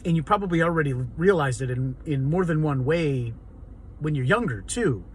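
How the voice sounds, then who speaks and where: A man with a hoarse, raw, raspy voice, talking earnestly and rambling in an unscripted personal vlog monologue. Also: medium pitch, slight background noise. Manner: rambling and earnest, many ums and restarts. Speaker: vlogger.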